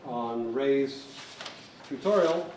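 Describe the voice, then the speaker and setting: A man's voice: mid-range voice, lively intonation, drawn-out words, a little mellow. A man giving a hands-on equipment tutorial as a technician, explaining things in a methodical, plain-spoken way. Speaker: technician instructor. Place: large hall. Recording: roomy echo, distant mic, faint noise.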